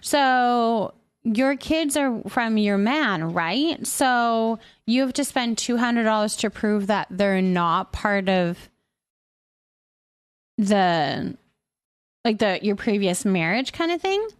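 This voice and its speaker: A woman with a fairly high voice hosts a livestream in a casual, chatty style. She speaks informally to her viewers, sometimes stretching out words. The sound is dry, with very little room echo. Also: expressive, slow, clear, resonant tone. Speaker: livestream host. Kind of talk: casual livestream chat, greeting viewers and reading comments aloud.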